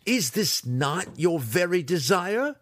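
A man's voice: fairly low pitch, very animated, measured pace, a bit bright, husky, no room sound, clean studio sound, voice pushed up, exaggerated voice. A man voicing a growling, taunting drow weapons master. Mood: goading. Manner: growling, derisive.

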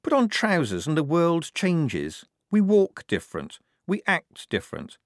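Man voicing an earnest, higher-pitched young soldier girl. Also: wildly swooping pitch, measured pace, dry recording, studio quality, full character voice. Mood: wondering, exasperated. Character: earnest young woman soldier disguised as a lad.

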